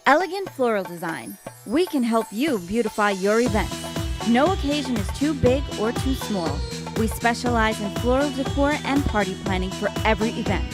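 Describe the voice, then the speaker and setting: Woman ad announcer over loud music. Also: on the high side, animated, normal speed. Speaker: advertisement announcer. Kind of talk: scripted commercial read.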